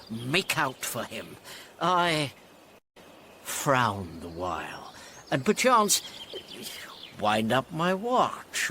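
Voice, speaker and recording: A man's voice: medium pitch, bright, forceful tone, a little hiss or hum, exaggerated voice. An actor playing a pompous, self-deluded steward in a Shakespearean radio comedy, savouring his grand fantasies theatrically.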